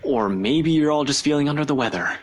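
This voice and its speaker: An actor playing a gruff, skeptical male detective in a game, blunt and impatient, swearing freely. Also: a little high, expressive, brisk. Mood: dismissive. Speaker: police detective.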